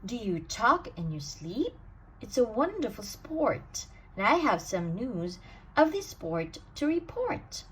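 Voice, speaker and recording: Lady reading a bedtime story real slow, bouncy sing-song voice. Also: fairly high pitch, breathy edge, rough recording. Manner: expressive, sing-song rhyming delivery. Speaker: storybook reader. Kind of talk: bedtime read-aloud.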